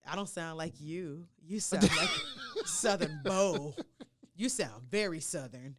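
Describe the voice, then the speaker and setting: A woman's voice: lowish voice, huge pitch swings, unhurried, background noise. A woman from Louisiana who works in radio, chatting casually as a podcast guest and emphatically repeating short phrases. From Louisiana, United States. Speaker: podcast guest, radio personality and event host. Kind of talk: casual chat.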